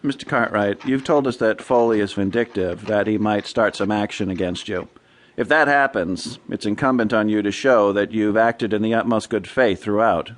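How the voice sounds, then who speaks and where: An American actor playing a brisk, insistent criminal defense lawyer in a radio drama, assertive and persuasive. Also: male voice, lowish voice, close to an everyday voice. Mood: firm.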